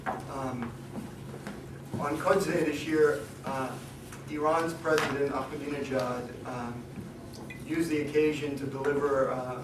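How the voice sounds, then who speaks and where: Hoarse male lecturer, flat delivery, halting; recorded from afar in an echoey room with a noisy background. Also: mid-range voice, recorded from a distance. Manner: hesitant, many ums and restarts. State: losing his voice. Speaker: guest lecturer. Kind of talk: academic seminar talk.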